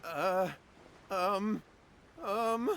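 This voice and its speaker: A man's voice: high voice, drawn-out words, fairly bright tone, slight background noise, exaggerated voice. A man playing a nervous, cowardly young townsman in a video game, flustered and pleading as he talks. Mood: evasive.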